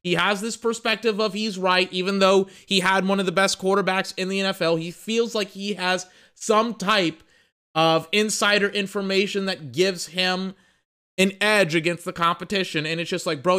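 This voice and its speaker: A man's voice: high-pitched, steady tone, moderate pace, pushed and bright, clear, resonant tone. A young man hosting a sports podcast, rambling and emphatic in casual commentary, restarting phrases and swearing, a little tired.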